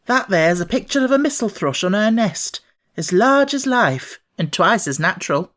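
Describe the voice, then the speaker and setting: A man's voice: sits high, animated, normal speed, fairly bright tone, pitched above the performer's own voice. A man voicing a proud, good-natured young housemaid who exclaims in broad Yorkshire dialect for a storybook. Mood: proud, amazed.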